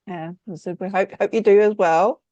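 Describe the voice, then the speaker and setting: An interviewer with a mellow, soft-edged tone, recorded very dry. The phrasing is reflective and halting, wandering through casual conversational questions at a middle pitch. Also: fairly even intonation, no room sound, muffled recording. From Australia or New Zealand. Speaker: podcast host, interviewer.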